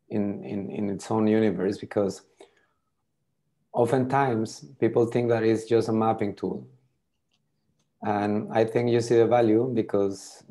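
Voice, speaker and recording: Low mellow-voiced French man. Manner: hesitant, repeats words while thinking. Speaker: tool demonstrator. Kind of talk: casual video call demo. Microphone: video call.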